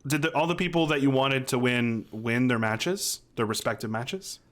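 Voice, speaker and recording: A man in his thirties with a middle-pitched voice co-hosts a casual podcast over a video call. He keeps up a joking, teasing banter. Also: normal speed, fairly bright tone. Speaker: podcast co-host. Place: small home room, video call.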